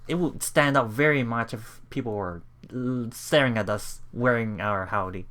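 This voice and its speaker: A man's voice: mid-range voice, moderate pace, a bit bright. A man reading a game aloud as a let's play streamer, reacting casually and repeating phrases as he puzzles things out.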